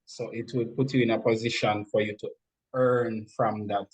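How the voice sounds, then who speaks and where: A man speaking as a music producer guest, explaining things deliberately, step by step, in an interview. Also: lowish voice, flat delivery, husky, rough recording.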